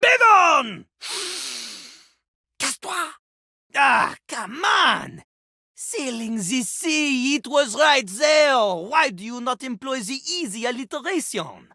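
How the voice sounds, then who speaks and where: Casting: haughty French rap poet, game character, boastful and theatrical, highly animated pitch, dry close studio sound. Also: female voice, takes it slowly, no echo, heavily acted. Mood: indignant. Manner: haughty, dramatic, speaks of himself in third person. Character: pompous French toilet poet-rapper, a boastful male character.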